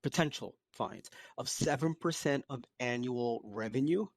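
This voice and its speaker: A man's voice: medium pitch, a little slow. An American man co-hosting a podcast, going through the news in an informal, conversational way. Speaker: podcast co-host. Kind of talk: casual news discussion.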